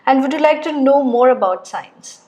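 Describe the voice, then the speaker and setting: A woman examiner reading scripted test questions in a measured, formal way. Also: sits high, expressive, darkish tone, rough recording.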